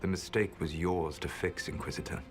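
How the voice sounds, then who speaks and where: A man acting in a video game as an ancient elven mage, calm, resolute and sorrowful, speaking in a measured, formal way. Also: low voice, soft background music, lightly acted. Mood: cool, matter-of-fact.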